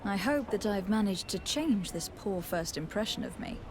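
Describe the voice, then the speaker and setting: An actress playing a self-assured, diplomatic young noblewoman in a video game, polite, measured and lightly teasing. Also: mid-range voice, expressive, background noise, natural voice. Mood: gentle teasing. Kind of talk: dramatic video game acting. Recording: clear music behind the voice.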